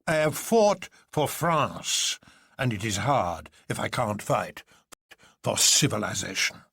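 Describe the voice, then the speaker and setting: A man's voice: on the low side, very animated, slow pace, vocal fry, fairly bright tone, slightly rough, no room sound, studio quality, heavily acted. A man playing a gallant, indignant old colonel in a story, blustering and emphatic. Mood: indignant, resolute.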